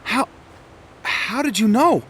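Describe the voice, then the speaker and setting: A man playing a proud, polite, expressive street sweeper in a video game. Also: very high voice, slow pace, a little hiss or hum, full character voice. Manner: expressive, earnest. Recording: music under the voice.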